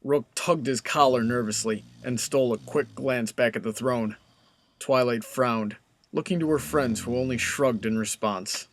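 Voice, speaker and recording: A man's voice: medium pitch, measured pace, slight background noise. A man reading scripted story narration as the narrator.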